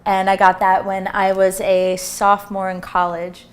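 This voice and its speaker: A woman's voice: mid-range voice, completely flat delivery, measured pace, faint music behind. A young adult woman casually listing her tattoos in an interview. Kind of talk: interview answers.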